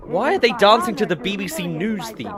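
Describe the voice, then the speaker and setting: A man's voice: sits high, over music. A young man hosting a reaction video with casual, mocking commentary. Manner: sarcastic, mocking, quick asides. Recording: show audio playing loud underneath.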